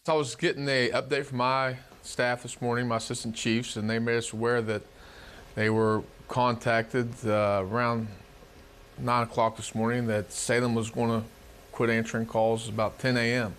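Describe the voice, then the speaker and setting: Flat-toned male emergency chief. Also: medium pitch, moderate pace, a little hiss or hum. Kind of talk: interview answers. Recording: faint background ambience.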